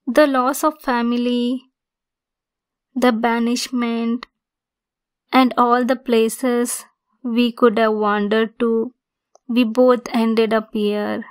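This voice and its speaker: Slow, high-voiced possessive centaur woman, acted by a woman. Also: level tone, smooth. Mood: wistful, obsessive. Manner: slow, deliberate, insistent, clingy. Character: possessive yandere female centaur, lonely, obsessive, domineering. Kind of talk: ASMR roleplay monologue.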